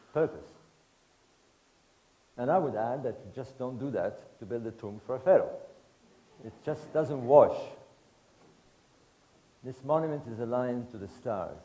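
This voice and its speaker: A man in his sixties from Egypt, giving a public lecture to an audience in emphatic, rhetorical bursts of short phrases with pauses. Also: medium pitch, animated, mellow, low-quality recording. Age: 60s.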